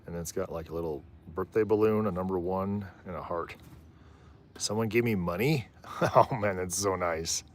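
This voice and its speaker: A man with a low voice hosting a live stream outdoors on a rooftop, chatting in a rambling, easygoing way. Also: moderate pace, slight background noise. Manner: rambling, easygoing, often trails off and laughs. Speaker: livestream host. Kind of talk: casual live commentary.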